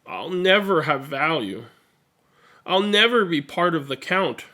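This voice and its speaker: A reader voicing an earnest, self-doubting female number zero from a picture book, in an expressive, drawn-out delivery. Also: medium pitch. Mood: sad, discouraged.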